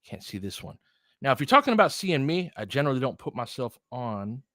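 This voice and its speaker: A man's voice: mid-range voice, sing-song, a little fast, pushed and bright, dry recording. A man with a mid-range voice hosts a live basketball stream. He calls plays in an informal, conversational way and addresses his viewers directly. The sound is close and dry, with very little room in it. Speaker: livestream host and play-by-play commentator. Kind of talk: casual live basketball commentary mixed with chat to viewers.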